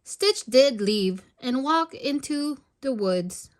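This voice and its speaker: A woman with a high voice reads a storybook aloud for children. Her narration is expressive, in the manner of a storytime host. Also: slow.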